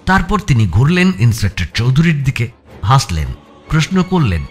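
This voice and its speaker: A man narrating a suspense audio story as its protagonist, in a measured, dramatic storytelling style. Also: mid-range voice, sing-song, breathy edge. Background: background music.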